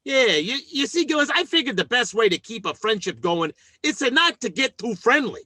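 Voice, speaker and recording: An actor playing a scheming, self-important working-class husband in an old-time radio sitcom, with blustery, folksy speech. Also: male voice, very high-pitched, sing-song, normal speed, bright, forceful tone, dry recording, studio quality, heavily acted. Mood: awkwardly persuasive.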